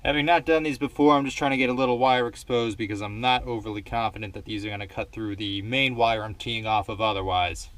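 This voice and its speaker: A man's voice: mid-range voice, steady tone, quick pace, hardly any pauses, a bit bright, dry recording. A young man narrating a casual DIY how-to vlog inside a car, with a wry, self-deprecating manner. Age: young adult. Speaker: DIY car project presenter.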